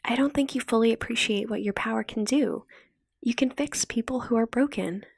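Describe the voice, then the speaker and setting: A woman voicing an earnest, insistent teenage girl with a breathy, pleading manner in an audiobook. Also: a little high, normal speed, darkish tone, no echo, studio quality, pitched above the performer's own voice. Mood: tender.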